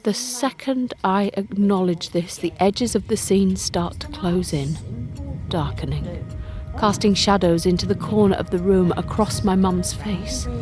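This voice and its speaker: A woman reading a first-person audio drama as narrator, expressive and suspenseful. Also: mid-range voice, unhurried. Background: music.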